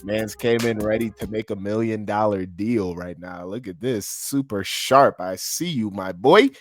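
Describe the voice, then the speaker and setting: A man's voice: lowish voice, a little slow, dry recording, soft background music. A man with a congested nose giving casual, slangy reaction commentary as host of a video.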